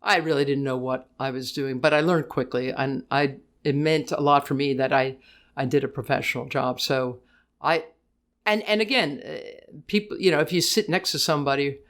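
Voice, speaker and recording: Deep-voiced older woman, chatty and quick. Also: fairly even intonation. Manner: talks fast in bursts with many restarts, laughs easily. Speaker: guest, tennis broadcaster. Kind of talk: casual interview chat.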